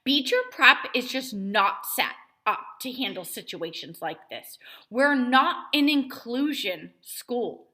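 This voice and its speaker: A woman reader plays a self-righteous mother holding forth to other parents in a children's story. Her voice is bright and forceful, swinging widely in pitch as she complains with heavy emphasis. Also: animated, takes it slowly, exaggerated voice. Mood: indignant. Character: indignant self-righteous mother rallying other school moms. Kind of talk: children's novel read-aloud character voice.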